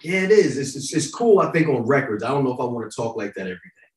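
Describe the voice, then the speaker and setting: A man from New York with a New York accent, chatting casually as a podcast guest with slang-filled, animated storytelling. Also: medium pitch, fast, echoey room, crowd noise. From Yonkers, New York, United States. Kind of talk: casual conversation.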